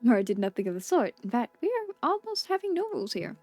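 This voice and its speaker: A woman voicing a gentle, unhurried male tea shop keeper from a video game, soft-spoken and politely formal in quaint phrasing. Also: on the high side, sing-song, dry recording, faint music behind. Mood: gently chiding. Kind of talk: video game dialogue read aloud.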